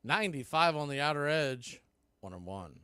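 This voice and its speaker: A man calling a baseball game play by play, rising to excited calls on big plays. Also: fairly high pitch, normal speed, smooth, dry recording, studio quality. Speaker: play-by-play announcer.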